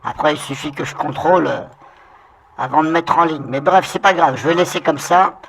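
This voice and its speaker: A man speaks through a voice changer that lifts his voice high. He gives a loose, rambling software demonstration, thinking aloud as he goes. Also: brisk, creaky. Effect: pitch-raising fairy voice changer. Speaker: software tutorial host. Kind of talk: informal screen-recorded software tutorial.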